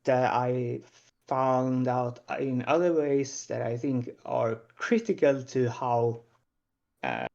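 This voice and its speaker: A man with a Swedish accent talking in a casual panel discussion, hesitant and full of pauses and fillers. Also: mid-range voice, gravelly, a little mellow, muffled recording. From Sweden.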